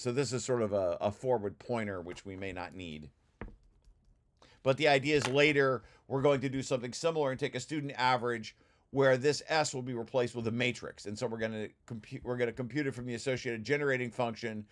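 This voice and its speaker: Halting man explaining technical material. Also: medium pitch, a little hiss or hum. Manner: halting, self-correcting, explanatory. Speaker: presenter reading through own technical paper. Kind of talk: informal technical walkthrough.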